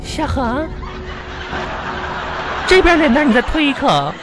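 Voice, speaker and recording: A woman's voice: high voice, sing-song, slow pace, full character voice. A woman performing a brash, shameless, melodramatic single woman in a live comedy radio play, whiny and exaggerated, with a Northeastern Chinese accent. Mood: flattering, teasing. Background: music.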